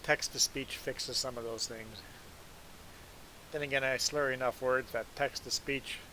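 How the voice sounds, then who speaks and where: A man talks with his stream viewers in a loose, rambling way and often pokes fun at himself. His voice sits at a middling pitch. A faint hiss runs under the recording. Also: steady tone, unhurried, bright, forceful tone, low-quality recording. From Belize. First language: Plautdietsch (Mennonite Low German). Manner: rambling, self-deprecating, chatty. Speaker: game streamer. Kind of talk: casual live-stream chat.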